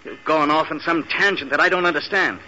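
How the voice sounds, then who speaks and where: An actor playing a menacing, sardonic crook, curt and threatening, in a 1940s radio drama. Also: male voice, a little high, brisk, bright, forceful tone. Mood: wary, sardonic. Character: menacing, sardonic male crook holding a gun. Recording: noisy, dull old radio recording.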